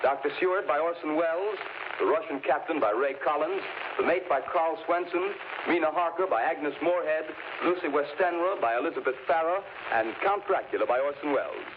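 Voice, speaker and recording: Higher-pitched vintage male radio announcer. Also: a little fast. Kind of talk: 1930s radio announcing, reading credits. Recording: tinny, noisy old radio broadcast.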